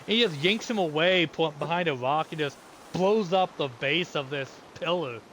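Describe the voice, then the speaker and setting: A man's voice: fairly high pitch, moderate pace, low-quality recording. A man in his thirties from the United States, rambling through casual gameplay commentary with many restarts. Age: 30s. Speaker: gamer. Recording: steady background noise.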